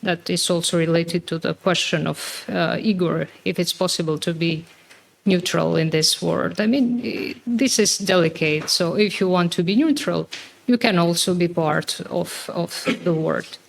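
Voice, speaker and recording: A woman's voice: on the low side, unhurried, creaky, slight background noise, poor audio. A woman with a Serbian accent giving hesitant panel remarks, full of fillers. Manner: hesitant, many fillers, careful phrasing. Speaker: book author and panel speaker.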